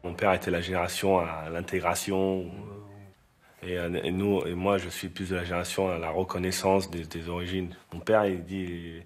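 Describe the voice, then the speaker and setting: A man quietly explaining in an interview. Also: sits low, flat delivery, normal speed. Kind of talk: interview testimony.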